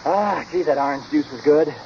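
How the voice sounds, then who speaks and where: A man's voice: on the high side, normal speed, darkish tone, natural voice. A man playing a genial, befuddled young husband and father, hesitant and effusive, in a 1940s radio comedy. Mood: cheerfully effusive. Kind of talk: 1940s radio sitcom acting. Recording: noisy, muffled old radio recording.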